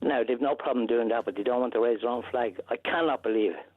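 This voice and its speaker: A man's voice: medium pitch, fast talker, no room sound. A man from Ireland, phoning in to a radio show, indignant and emphatic, repeating himself. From Northern Ireland. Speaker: caller. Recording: dry, tinny phone line.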